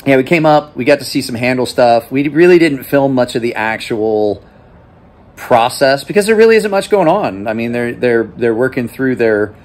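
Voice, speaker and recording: A man's voice: medium pitch, expressive, normal speed, a little mellow. Tired host, 40s male, rambling, casual phone-held vlog in a shop. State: exhausted. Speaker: knife channel host. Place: small cluttered office, then large workshop. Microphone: phone held at arm's length.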